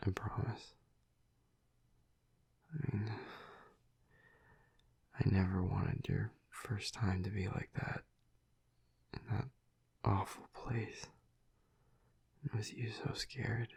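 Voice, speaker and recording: A man playing a tormented, tender vampire lover in an intimate audio roleplay, halting and stammering in a breathy, husky half-whisper. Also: lowish voice, fairly even intonation, a little mellow, heavily acted. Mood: apologetic, tender.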